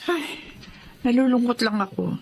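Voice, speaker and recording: A woman's voice: a little high, lively intonation, moderate pace, vocal fry, darkish tone, a little hiss or hum. A woman playing a weary older mother. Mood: worried. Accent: Filipino. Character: worried older widowed mother, egg vendor. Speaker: widowed mother of grown sons. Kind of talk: radio drama acting.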